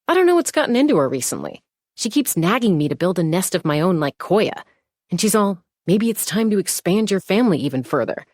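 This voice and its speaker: A woman voicing a confident young female gunslinger and peacemaker in a video game, with a cool, measured, self-assured delivery. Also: low-pitched, darkish tone, no echo, studio quality, full character voice. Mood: fond, exasperated. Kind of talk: scripted game character voice lines.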